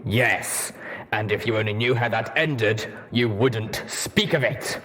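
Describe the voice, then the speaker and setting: A man voicing a gruff, bitter male pony soldier with a terse growl. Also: lowish voice, slow, fairly bright tone, slight background noise.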